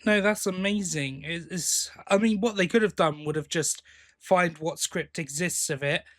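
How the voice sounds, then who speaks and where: A man's voice: sits high, normal speed, fairly bright tone. A man hosting a podcast, talking in a casual, conversational discussion style. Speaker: podcast host.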